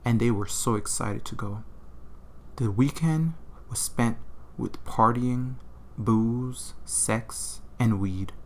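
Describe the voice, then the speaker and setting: A man's voice: mid-range voice, slow pace, a little hiss or hum. A man narrating a comedic horror story in an informal, slangy, irreverent way.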